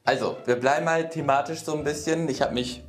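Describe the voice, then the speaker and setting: A man's voice: on the high side, fairly quick. Rambling young man in a roomy space. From rural Germany. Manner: rambling, self-correcting. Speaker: storyteller in a lie-detection game show. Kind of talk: casual storytelling banter. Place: indoor room in front of a screen. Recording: roomy echo, music underneath. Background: music.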